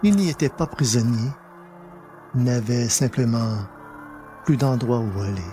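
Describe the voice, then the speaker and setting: A male narrator reads a dramatic story at a very unhurried pace. His voice is mid-pitched and he places deliberate pauses between phrases, with soft music behind him. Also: expressive.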